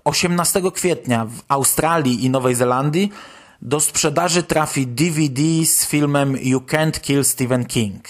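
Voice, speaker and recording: A man's voice: medium pitch, a little slow. A man hosting a podcast, presenting a news roundup in speech. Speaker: podcast host.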